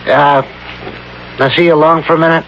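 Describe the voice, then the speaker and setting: An American actor playing a rough, wary small-town bar owner in a vintage radio drama, with slangy, folksy, slightly hesitant delivery. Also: male voice, on the high side, steady tone, normal speed, fairly bright tone, natural voice. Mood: polite, awkward. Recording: dull lo-fi old radio broadcast, faint noise.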